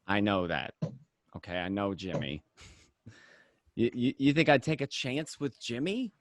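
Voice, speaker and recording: A man's voice: mid-range voice, very animated, a little slow, no room sound, clean studio sound. A man playing a defensive, hustling gangster in an amateur radio drama read, insistent and stammering over repeated words. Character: defensive, hustling young male gangster, eager to reassure.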